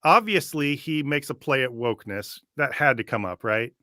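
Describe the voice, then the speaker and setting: A man's voice: medium pitch, lively intonation, moderate pace, sharp tone, no room sound. A man co-hosting a show with casual, opinionated reaction commentary in a conversational manner. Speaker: show co-host.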